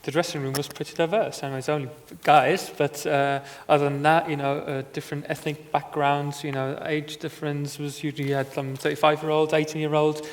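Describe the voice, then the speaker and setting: A former footballer speaking as a guest on a conference panel, talking in a reflective, earnest way with many "you know"s. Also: male voice, on the high side, flat delivery, a little fast, hardly any pauses. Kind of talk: conversational panel talk. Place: conference stage.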